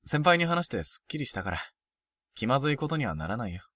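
A man playing an earnest, lovelorn high school boy in a Japanese voice drama, speaking as a polite but casual junior. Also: big pitch swings, fast, fairly bright tone, no echo, clean studio sound, recorded from a distance. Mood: reassuring. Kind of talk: Japanese romantic-comedy voice drama acting.

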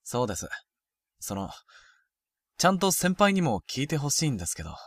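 A man playing a frank, proud, sporty first-year high school boy in a Japanese youth romantic comedy voice drama. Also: big pitch swings, fairly quick, dry recording. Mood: guarded, blunt.

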